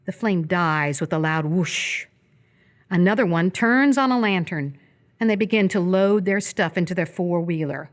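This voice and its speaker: A woman with a slightly low voice narrates a radio play. She reads scripted action as the story's narrator, in present-tense descriptive prose. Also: moderate pace. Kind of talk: scripted narration of a screenplay for live radio theatre.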